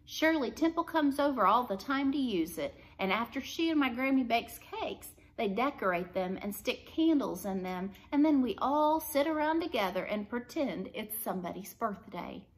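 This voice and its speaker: A woman's voice: on the high side, lively intonation, normal speed, hardly any pauses, voice pushed up, lightly acted. A woman reading aloud the part of a young girl in a storybook, boastful and earnest as she fibs. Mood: eager bragging. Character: Heidi, fibbing young girl, eager and boastful. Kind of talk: storybook character dialogue read aloud.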